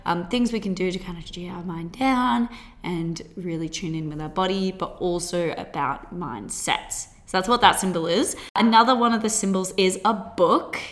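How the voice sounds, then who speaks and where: A woman in her twenties with an Australian accent, hosting a solo podcast in a bubbly, chatty way with quick laughs. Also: fairly low pitch. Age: 20s. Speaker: podcast host.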